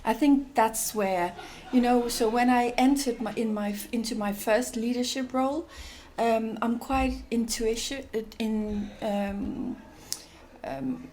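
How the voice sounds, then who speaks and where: Danish woman talking slow, lots of um and restarts, thoughtful, bit of background noise. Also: fairly high pitch, a little mellow, poor audio. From Denmark. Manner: thoughtful, hesitant, many restarts and fillers. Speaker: guest, NGO executive director. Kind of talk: reflective interview answers.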